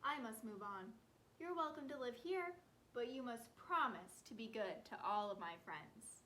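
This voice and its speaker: Woman voicing a polite, high-voiced storybook hermit crab. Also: animated, measured pace, fairly bright tone, a little hiss or hum. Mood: fond, resolute. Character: friendly, polite, admiring male hermit crab.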